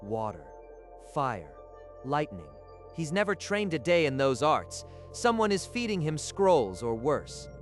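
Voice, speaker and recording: Man acting a higher-voiced, indignant rival ninja. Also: big pitch swings, measured pace, soft background music. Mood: indignant suspicion. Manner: indignant, accusing. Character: disbelieving, suspicious mocking rival ninja. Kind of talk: manga dub acting.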